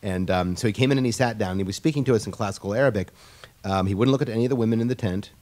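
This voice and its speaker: A man with a notably low voice answers a question on a discussion panel. He talks in an explanatory way, stopping and restarting his sentences often as he works through his points. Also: darkish tone. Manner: halting, many restarts, explanatory.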